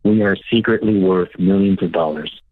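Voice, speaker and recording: A man in his thirties with a low voice calls in over a thin, phone-like line. He speaks in a measured, hesitant way and keeps explaining himself. The sound is dry and close. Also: dry recording. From United States. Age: 30s. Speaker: radio show caller.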